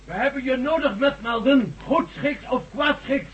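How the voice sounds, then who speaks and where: An actor playing an insistent Russian marshal turned rebel in a radio drama, with a Russian accent and emphatic, commanding speech. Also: male voice, very high voice, moderate pace, a bit bright. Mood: menacing insistence. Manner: pleading turning commanding, emphatic. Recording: noisy, dull lo-fi radio recording.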